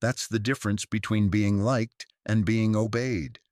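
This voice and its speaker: A man's voice: lowish voice, steady tone, unhurried, a little mellow, dry recording, studio quality, voice pushed up, lightly acted. A man voicing a prim, guarded old small-town woman in a story, with precise, drily genteel phrasing. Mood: dry, composed.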